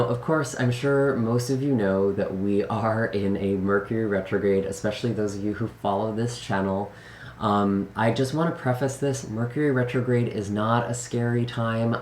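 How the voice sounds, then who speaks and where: A man hosting a tarot channel, speaking in a gentle, earnest way with frequent fillers, his voice slightly raspy after being sick. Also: mid-range voice, reverberant, slight background noise. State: recovering from illness. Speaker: tarot reader. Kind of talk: casual channel intro.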